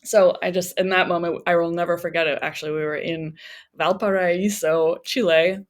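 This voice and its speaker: A woman's voice: on the low side, steady tone, moderate pace. A woman speaking as an interview guest, telling personal stories conversationally and voicing remembered remarks as she goes. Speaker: interview guest, travel memoir author.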